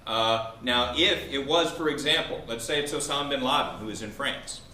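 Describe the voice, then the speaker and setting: A man's voice: mid-range voice, moderate pace, sharp tone. A man who is a law professor arguing a legal point in a debate, explaining step by step and posing questions that he answers himself. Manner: argumentative, explanatory, poses and answers own questions. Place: indoor room at a law school event. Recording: roomy echo with faint background noise.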